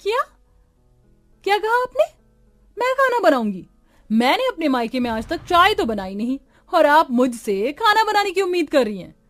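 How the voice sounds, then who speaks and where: A woman playing a haughty, shrill young daughter-in-law. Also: very animated, normal speed, soft background music. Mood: indignant. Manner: snappish, scolding. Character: haughty, lazy young daughter-in-law, sharp-tongued and indignant. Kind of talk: Hindi animated moral-story acting.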